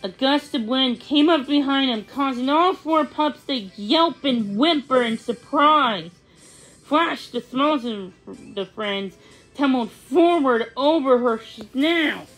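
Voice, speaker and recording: A woman reads a children's storybook aloud. Her voice sits a little high and she goes very slowly, often halting between words as she follows the text. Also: animated, a bit bright, faint music behind, poor audio. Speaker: read-along storyteller.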